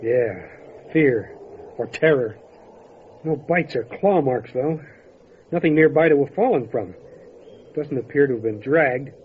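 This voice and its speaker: Man playing a calm country sheriff, lo-fi radio. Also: medium pitch, unhurried, pauses often, husky. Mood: grimly matter-of-fact. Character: calm, dry, matter-of-fact male country sheriff investigating a death. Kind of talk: old-time radio drama acting. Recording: dull lo-fi radio drama recording, faint noise.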